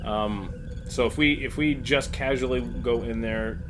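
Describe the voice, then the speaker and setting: A man's voice: mid-range voice, unhurried, noisy background. A man improvising as a starship captain in a tabletop role-playing game. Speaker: player acting as starship captain.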